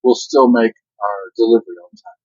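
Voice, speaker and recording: A man's voice: medium pitch, fairly even intonation, fairly quick, darkish tone, husky, no room sound, lo-fi sound. An older man who drives a truck, chatting casually and giving matter-of-fact safety advice. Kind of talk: casual vlog chat.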